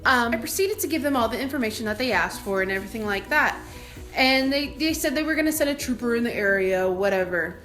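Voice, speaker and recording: High, bright-voiced young woman telling a story. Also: expressive, quick pace, faint music behind. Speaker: vlogger telling a personal story. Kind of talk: casual animated storytelling.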